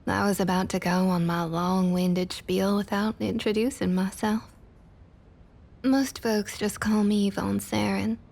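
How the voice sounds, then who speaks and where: A woman playing a smug, condescending vampire councilwoman in an ASMR roleplay, with a Southern US accent and a falsely sweet, breathy delivery that often drops to a near whisper. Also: medium pitch, steady tone, a little slow, a little mellow. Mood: smug, poised. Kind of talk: ASMR roleplay, intimate scripted monologue.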